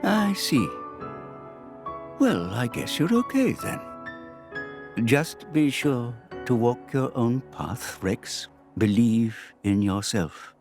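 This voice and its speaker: A man playing a wise, gently stern old grandfatherly mentor in a video game, measured and solemn. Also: medium pitch, expressive, faint music behind. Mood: solemn advice. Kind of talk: dubbed video game cutscene acting.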